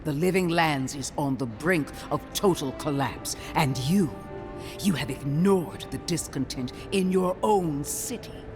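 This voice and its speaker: An actor playing a severe, zealous imperial inquisitor in a fantasy game, with an imperious, cutting, theatrical delivery. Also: male voice, fairly high pitch, a little slow, music in the background, natural voice. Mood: contemptuous, accusing. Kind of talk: dramatic fantasy game dialogue.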